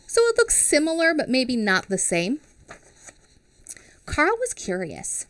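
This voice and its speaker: Woman in her thirties, teacher reading aloud for kids, lively rising and falling voice, dry close headset sound. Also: high voice, slow pace, no room sound. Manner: expressive, asks children questions. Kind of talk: children's picture book read-aloud. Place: small home studio with classroom backdrop. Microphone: headset mic.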